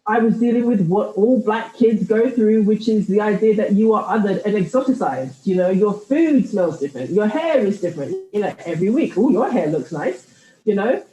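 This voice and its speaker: A thoughtful woman speaks as a guest in a conversational interview, often circling back and restarting as she works through her ideas. Her voice is warm and mellow. It is recorded in a room with noticeable echo. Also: mid-range voice, expressive, normal speed. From United Kingdom. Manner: reflective, thoughtful, many restarts. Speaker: guest, writer and podcaster.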